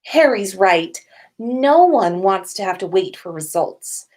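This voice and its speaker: A woman's voice: medium pitch, very animated, slow, a little mellow. A woman voicing a cheeky, scheming teenage prankster wizard boy in a story reading.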